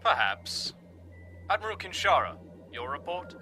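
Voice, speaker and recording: Senior space fleet commander played by a man: curt and demanding, lively inflection, sharp edge, comic dub. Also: on the high side, a little slow, exaggerated voice. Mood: brisk, satisfied. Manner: clipped, commanding, sardonic. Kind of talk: scripted comic dub acting. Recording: music under the dialogue.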